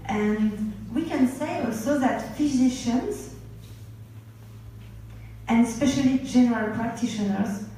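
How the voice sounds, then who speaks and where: A woman moderating a conference panel, introducing speakers and asking measured, formal questions. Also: fairly high pitch, dark tone. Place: large conference hall. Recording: echoey hall, distant mic, faint noise.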